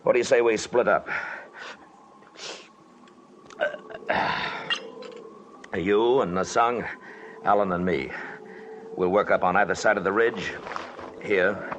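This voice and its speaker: An actor playing a steady, decisive expedition leader in a 1950s radio adventure, businesslike and authoritative as he acts and narrates. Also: lowish voice, a little slow, long pauses, highs cut off. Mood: brisk, decisive. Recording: noisy 1950s radio recording.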